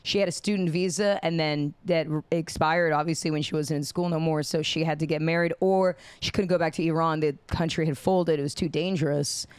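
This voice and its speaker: A hungover American woman hosts a casual podcast, blunt and jokey, with a smooth, clear voice. The sound is close and dry, with no room around it. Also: deep voice, fairly even intonation, fairly quick, few pauses, darkish tone, dry recording. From United States. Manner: jokey, blunt, swears freely. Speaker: podcast host. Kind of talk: casual chat.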